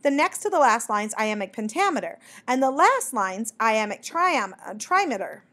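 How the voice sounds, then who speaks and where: A woman's voice: on the high side, very animated, normal speed, sharp tone, exaggerated voice. A woman voicing a conceited, cordial male mockingbird poet in a storybook, with a pompous, emphatic manner. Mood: pedantic.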